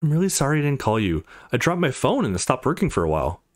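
A man's voice: medium pitch, very animated, quick pace, natural voice. A man voicing an apologetic young male student in a visual novel, sheepish and reassuring.

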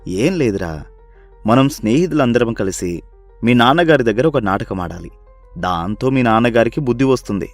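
A man's voice: medium pitch, expressive, normal speed, faint music behind, natural voice. A man voicing a sly young villager in a cartoon moral story, friendly, polite and conspiratorial. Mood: scheming.

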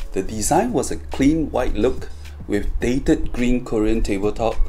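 A man's voice: mid-range voice, a little mellow. A man reading a scripted promotional narration as the presenter of a company video. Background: background music.

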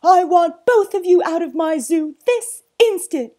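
A woman's voice: expressive, a little slow, mellow. A woman voicing a pompous, furious male zoo owner who bellows and blusters indignantly in a storybook reading. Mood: enraged. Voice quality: booming, bellowing. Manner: blustering, indignant, emphatic questions.

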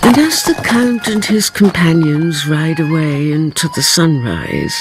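A woman playing the narrator of a radio drama, telling it in a deliberate, suspenseful, theatrical manner. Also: low voice, big pitch swings, noisy background, heavily acted. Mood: grave, dramatic. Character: grave, dramatic female storyteller narrating revenge.

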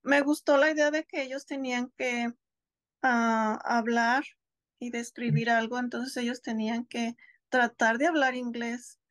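A woman's voice: on the high side, steady tone, measured pace, fairly bright tone, dry recording. A woman in her thirties from Mexico, a homeschooling mother speaking on a video call, thoughtful and hesitant, often restarting her words. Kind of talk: casual interview conversation. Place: home, seated on a couch.

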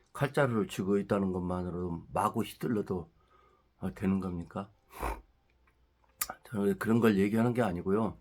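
A middle-aged man talks through a head cold, his voice stuffy and nasal. His pitch sits a little low, and he rambles in a casual monologue, firing off rhetorical questions as he heats up. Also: a little mellow. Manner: ranting, rising heated at points with rhetorical questions. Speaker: political commentary channel host.

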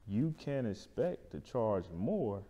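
A man's voice: fairly low pitch, sing-song, slow, mellow. A man, talking casually as an interview guest and explaining things in colloquial terms, often checking in with the listener. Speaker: barbershop owner, interview guest. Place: indoor lounge-style studio. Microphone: lapel mic. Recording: reverberant room.

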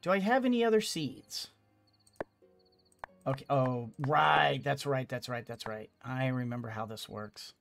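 A man's voice: mid-range voice, unhurried, vocal fry, fairly bright tone. A middle-aged man giving casual let's play commentary, hesitant and musing to himself as he plays. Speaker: gaming video host. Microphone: microphone on boom arm, headset. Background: game music.

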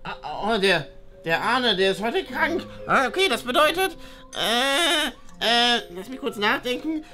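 A woman's voice: fairly high pitch, big pitch swings, a little slow, pushed and bright, soft background music, full character voice. A woman voicing a brainy, pedantic schoolboy sidekick, fussy and hesitant, in a children's school story. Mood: flustered, officious.

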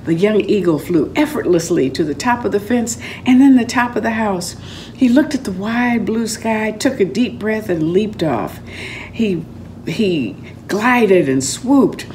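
An older woman reading a story aloud in an expressive, dramatic and deliberate storytelling manner. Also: medium pitch, slight background noise. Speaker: author reading aloud from own book. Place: room at home, indoors.

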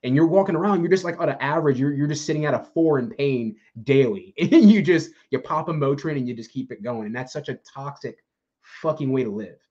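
A man's voice: fairly high pitch, a little fast, darkish tone, lo-fi sound. A young man hosting a candid chat over a video call, talking casually and rambling with lots of swearing. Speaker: podcast host, infantry soldier. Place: room at home, gaming chair.

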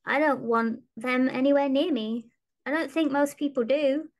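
A young woman with a high voice, chatting casually as a podcast guest. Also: moderate pace. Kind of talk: casual chat. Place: small room at home, video call.

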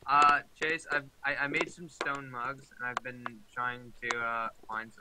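A man's voice: mid-range voice, flat delivery, a little slow. Teen boy co-player, teasing banter, heavy background hiss. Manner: teasing, mock-serious banter. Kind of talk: casual multiplayer gaming chat. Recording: loud background noise.

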